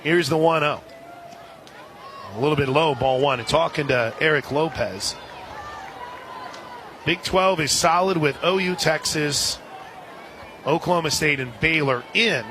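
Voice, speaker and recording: A man with a fairly high voice calls softball live on the radio. He drops chatty asides between pitches, with a clearly audible stadium crowd and outdoor noise around him. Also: unhurried, long pauses. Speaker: play-by-play announcer.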